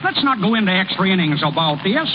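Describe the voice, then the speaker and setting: Lazy, cocky small-town ballplayer, high-pitched. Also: male voice, big pitch swings. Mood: mildly insistent. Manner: folksy, unhurried, boastful, ungrammatical. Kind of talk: 1930s-40s radio comedy acting. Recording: muffled, noisy old radio broadcast.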